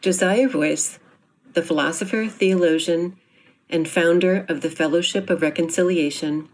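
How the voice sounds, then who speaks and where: Woman with a lowish voice, formal presenter reading a prepared introduction in measured phrases. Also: darkish tone, poor audio. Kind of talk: scripted explanatory reading.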